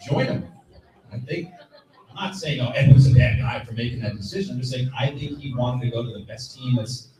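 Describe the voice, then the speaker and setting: A man's voice: medium pitch, fairly quick, dark tone. A man giving casual, opinionated sports talk as a panelist at a live event. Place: live convention stage. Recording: very echoey room, distant from microphone.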